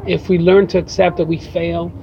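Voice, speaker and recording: A man's voice: high-pitched, level tone, normal speed, vocal fry, warm tone. A man answering interview questions reflectively, filling his thoughts with "you know" and "uh". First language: English. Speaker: guest writer. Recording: muffled with faint background noise.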